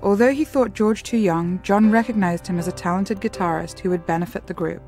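A woman reading scripted documentary narration in a measured, even way. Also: mid-range voice, faint music behind.

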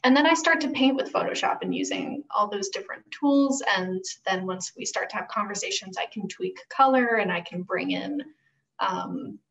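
A woman's voice: mid-range voice, normal speed, a bit bright, far from the mic, highs cut off. A young woman explaining her work conversationally as a guest on a video call. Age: young adult. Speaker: guest, costume designer.

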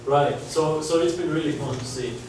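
A man's voice: a little high, normal speed, a little mellow. A man in his forties from Finland with a Finnish accent, giving a chatty guest lecture to students in a large lecture hall. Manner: chatty, conversational, restarts phrases. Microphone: far camera, no mic seen. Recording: echoey hall, distant mic, background noise.

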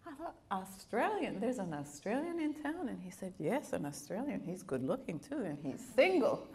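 A middle-aged woman missionary who grew up in Bolivia, preaching in a church and telling personal stories in an animated way, with a slight accent. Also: medium pitch, moderate pace. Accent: foreign accent of Italian and Latin American upbringing. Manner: animated, laughing, with playful quoted voices. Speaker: guest missionary speaker. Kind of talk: sermon with personal storytelling. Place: church auditorium with a stage. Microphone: handheld microphone at a pulpit, wide camera.

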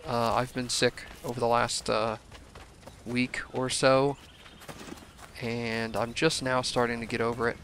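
A young man commenting casually on a video game he is playing, his voice a little hoarse from a cold, rambling with self-deprecating asides. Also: mid-range voice, flat delivery, measured pace, long pauses, a bit bright, a little hiss or hum. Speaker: let's play gamer.

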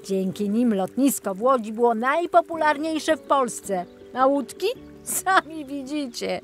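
A woman voicing a jolly, absent-minded older male tour guide in a children's storybook, rambling and enthusiastic. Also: a little high. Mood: proud, enthusiastic.

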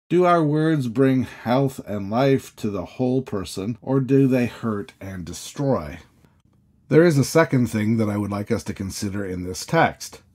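A man, a priest, giving a measured sermon full of questions, each sentence opening higher and settling low. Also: darkish tone, breathy edge. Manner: measured, questioning preaching with rising openings and falling endings.

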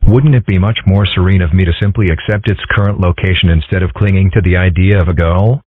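A low, husky man reads comic strip dialogue in a dry, deadpan way. The sound is thin and tinny, like a phone line, with no room around it. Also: quick pace, no room sound. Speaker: comic dub voice actor.

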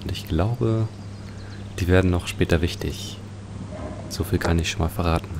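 A man's voice: low-pitched, moderate pace. A man commenting casually on a video game, musing aloud as he describes what he sees. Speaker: let's play host. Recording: clearly noisy home recording.

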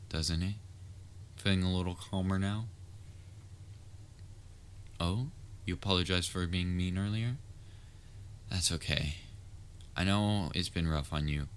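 Man acting as a slow-talking, low-voiced caring babysitter. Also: a bit bright, a little hiss or hum. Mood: soothing. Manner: coaxing, casual, firm but tender. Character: patient young male babysitter of a catgirl-like child, exasperated yet caring. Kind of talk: roleplay audio drama, speaking directly to listener.

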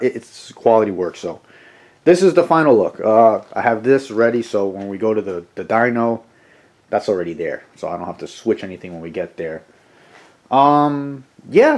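Car-vlog guy, thirties, hoarse and sniffly, casual self-deprecating chatter into a handheld camera in a garage. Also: fairly low pitch, darkish tone. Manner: chatty, rambling, self-deprecating, frequent restarts. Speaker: car vlogger. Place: home garage, then inside a parked car. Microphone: handheld camera at arm's length.

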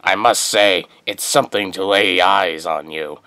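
A man's voice: low-pitched, unhurried, sharp tone, slight background noise. An actor playing a scheming, deferential crime lord in a radio drama, formal and placating in the way he talks. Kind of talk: comic-book radio drama acting.

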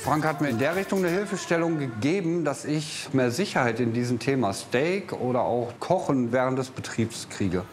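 Mid-pitched man, casual apprentice cook. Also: lively intonation, moderate pace, hardly any pauses. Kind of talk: casual plain talk. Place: large indoor kitchen studio. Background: background music.

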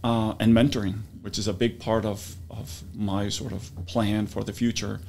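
A man's voice: fairly low pitch, normal speed, creaky, a little hiss or hum. Thoughtful middle-aged man from Iceland. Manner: relaxed, thoughtful, some hesitations. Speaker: university research administrator, guest. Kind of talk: interview answers. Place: small office meeting room. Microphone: studio mic with pop filter.

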